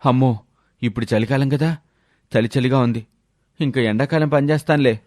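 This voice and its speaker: A man's voice: mid-range voice, a little fast, a little mellow, no echo, clean studio sound, close to an everyday voice. A man playing a lazy village husband who complains and wheedles his way out of things in a storybook tale. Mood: whining. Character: lazy, whining village husband full of excuses. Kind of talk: storybook character voice.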